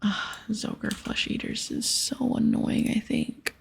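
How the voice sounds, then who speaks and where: A woman doing a whispered ASMR game playthrough, casually soft-spoken and breathy as she reads aloud and comments. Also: slow. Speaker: ASMR gaming streamer. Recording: soft music behind. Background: soft music.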